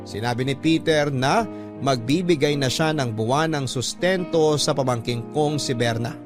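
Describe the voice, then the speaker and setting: A man's voice: medium pitch, moderate pace, lightly acted. A man narrating a sentimental radio love story as a devoted, protective younger sibling, earnest and reflective. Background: music under the voice.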